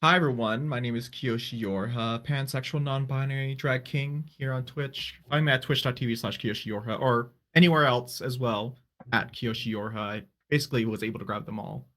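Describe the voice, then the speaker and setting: A man's voice: mid-range voice, brisk, hardly any pauses, a bit bright. Casual, rambling male panelist. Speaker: panelist, drag king streamer.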